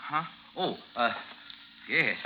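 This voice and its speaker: A man's voice: mid-range voice, animated, slow pace, pushed and bright, heavily acted. A man acting in a 1950s radio drama as a timid, chatty landlord and old soldier, with a Southern American accent and hesitant, stammering speech. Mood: folksy, chatty. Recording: noisy 1950s radio broadcast recording.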